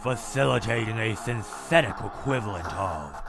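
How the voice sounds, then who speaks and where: A man voicing a cold, logical male robot scientist who narrates in a clinical, measured, detached way for a comic dub. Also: fairly low pitch, lively intonation, vocal fry. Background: loud music.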